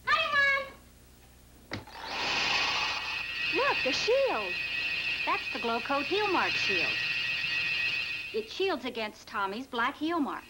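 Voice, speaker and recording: A woman's voice: sits high, very animated, drawn-out words, long pauses, exaggerated voice. A woman acting in a 1960s television commercial. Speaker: actress in a commercial. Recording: noisy old television commercial.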